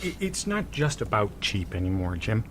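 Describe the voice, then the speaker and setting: Casting: a placating, worried American numbers man for audio drama, very varied intonation, clear background noise. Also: lowish voice, very animated, normal speed. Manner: hesitant, placating. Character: anxious, deferential company accountant, male.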